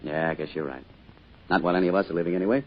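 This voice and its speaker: Muffled old radio recording of a small-town father with a deep, husky voice. He talks quickly in a folksy, wistful, reflective way, as in vintage radio drama. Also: steady tone. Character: loving, wistful small-town father, grieving his son.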